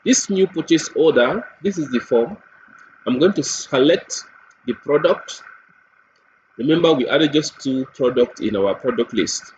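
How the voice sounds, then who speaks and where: A man presents a software demo step by step, deliberately, repeating phrases and posing questions to himself as he goes. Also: a little high, animated. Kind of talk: step-by-step screen tutorial narration. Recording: dull lo-fi mic with clear background noise.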